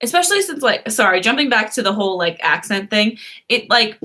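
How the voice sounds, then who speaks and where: A woman's voice: on the high side, big pitch swings, a little fast, pushed and bright. Giggly young woman chatting on a live stream. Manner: chatty, giggly, answers viewers' comments. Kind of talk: casual live stream chat.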